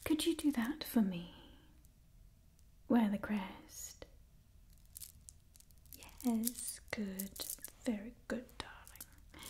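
Vampire clan matriarch for ASMR roleplay, played by a young woman: mellow breathy whisper, unhurried, imperious yet doting. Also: fairly high pitch, slight background noise. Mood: coaxing, pleased. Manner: slow, deliberate, condescendingly affectionate. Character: aristocratic female vampire clan leader, coolly doting, imperious. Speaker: ASMR performer. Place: small indoor set with wooden wall. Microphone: close ASMR microphone.

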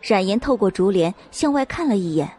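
A woman reading a dramatic novel as an audiobook narrator, in measured storytelling style. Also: mid-range voice, animated, mellow, husky, a faint crowd.

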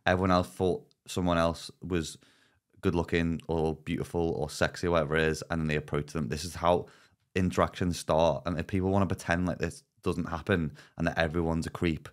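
A man's voice: sits low, flat delivery, brisk. A man in his thirties co-hosting a podcast, giving emphatic advice and restarting his sentences. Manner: opinionated, emphatic, repeats words when starting. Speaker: podcast co-host. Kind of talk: casual chat. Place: small podcast studio, indoors. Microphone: studio mic on arm, headphones.

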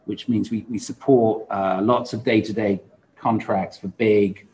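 A man's voice: fairly low pitch, fairly even intonation, a little slow, a little mellow, a little hiss or hum. A man in his forties speaking casually as a podcast host in conversation. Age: 40s. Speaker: podcast host and law firm founder. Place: indoor room, seated.

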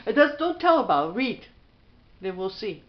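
A woman's voice: medium pitch, sing-song, normal speed, lo-fi sound, slight background noise. A middle-aged woman chatting casually with a child, as a mother filming at home. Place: small bedroom at home. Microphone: handheld camera mic.

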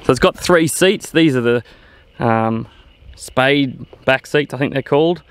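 A middle-aged man giving an unhurried, plain-spoken vlog walkaround, explaining things casually outdoors. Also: mid-range voice, clear, resonant tone. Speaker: vlogger, owner of a vintage off-road vehicle. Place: outdoors in open grassy bushland. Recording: windy outdoor noise.

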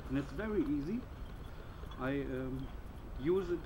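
A man in his thirties with a German accent, haltingly presenting a gear demonstration in English as his second language. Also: medium pitch, expressive. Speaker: bushcraft video presenter. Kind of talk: informal gear demonstration to camera. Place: outdoors at a wooden bench by trees. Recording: noisy outdoor recording with ambient sounds.

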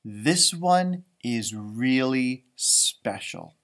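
Man acting kindly male teacher, storybook, encouraging, dramatic drawn-out words, swooping intonation. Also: mid-range voice, animated, fairly bright tone, voice pushed down, exaggerated voice. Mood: impressed. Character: kind male schoolteacher, impressed and encouraging.

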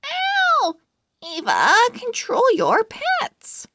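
A woman's voice: very high-pitched, huge pitch swings, drawn-out words, background noise, voice pushed up, full character voice. A woman voicing a frank young owl friend from a storybook, with emphatic delivery. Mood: indignant.

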